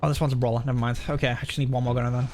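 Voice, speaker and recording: A man's voice: medium pitch, flat delivery, racing, a little mellow. A man live streaming a game, rambling casually and thinking aloud as he comments and chats.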